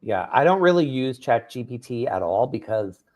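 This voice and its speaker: New author explaining eagerly. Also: male voice, mid-range voice, sing-song, normal speed, a little mellow. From New York. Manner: enthusiastic, explanatory, tags "right?". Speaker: author and drag performer, guest. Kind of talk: radio interview.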